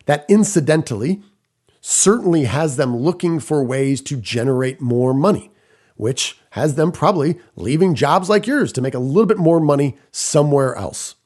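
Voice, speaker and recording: Emphatic male podcast host, higher-pitched voice. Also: lively intonation, normal speed, darkish tone. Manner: rhetorical questions, emphatic short phrases. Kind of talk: scripted solo business commentary.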